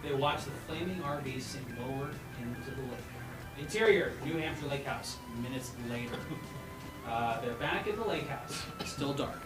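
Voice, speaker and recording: A man's voice: mid-range voice, normal speed. A man reading stage directions aloud as narrator at a live comedy table read. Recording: roomy far-off mic with loud music.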